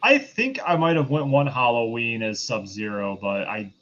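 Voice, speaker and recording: A man's voice: mid-range voice, lively intonation, moderate pace, fairly bright tone. A man chatting casually as a panelist on a review show, on a slightly muffled recording.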